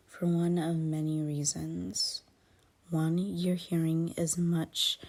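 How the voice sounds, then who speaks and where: A woman acts out an aloof, irritable female werewolf in an intimate ASMR roleplay. Her voice sits on the low side with a dark, soft tone that often drops into whispers. She speaks very slowly, curt and scornful, grudging even when she helps. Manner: curt, scornful, exasperated sighing.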